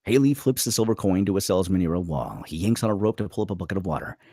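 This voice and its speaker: Casting: male narrator for screenplay read, lively pitch swings, husky, clipped dramatic phrasing. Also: racing, no room sound, clean studio sound. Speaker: narrator reading stage directions.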